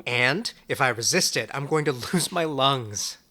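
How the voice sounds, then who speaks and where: A sardonic, hot-tempered character in an occult audio drama. The voice is bright and very lively in pitch, taking its time with blunt, teasing lines. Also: measured pace. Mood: teasing, affectionate. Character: hot-headed, sardonic, foul-mouthed lover of an occultist.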